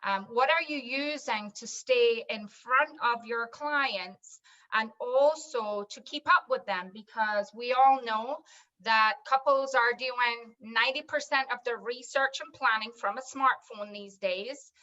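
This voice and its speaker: A woman's voice: on the high side, unhurried, pushed and bright, dull audio. A businesswoman from Scotland with a Scottish accent, speaking fluently and listing services one by one in an online panel discussion. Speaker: wedding software business owner.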